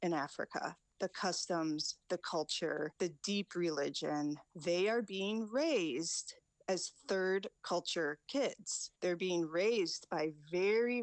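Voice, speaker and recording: A woman's voice: on the low side, no echo. A woman county official explaining a social issue in an interview. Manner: slow, explanatory.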